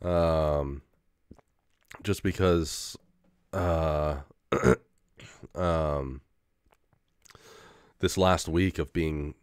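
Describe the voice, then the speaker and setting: A man's voice: extremely low pitch, fairly even intonation, extremely slow, pauses often, clean tone, dry recording, clean studio sound. A young man in a casual rambling monologue, full of fillers, restarts and swearing, getting over a head cold with an occasional cough. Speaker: vlogger.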